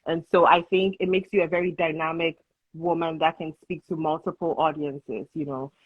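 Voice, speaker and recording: A woman's voice: sits low, fairly even intonation, normal speed, slightly rough, no room sound. A woman hosting a casual interview who encourages her guest and affirms her with short interjections. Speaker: host. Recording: dull dry lo-fi sound.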